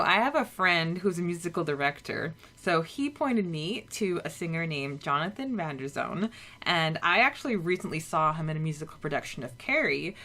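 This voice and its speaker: A woman co-hosting a casual podcast, chatting and recapping a story with a relaxed, talky manner. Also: on the low side. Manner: chatty, recaps with many "like" fillers. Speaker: podcast co-host. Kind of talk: casual chat.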